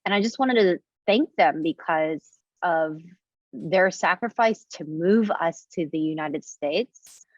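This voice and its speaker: A woman in her thirties from Indonesia talks through her thoughts with a reflective, searching manner, dotting her sentences with fillers and restarts. Her voice sits a little low, recorded dry and close with a dull, lo-fi sound. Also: slow pace, no room sound. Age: 30s. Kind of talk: casual conversation.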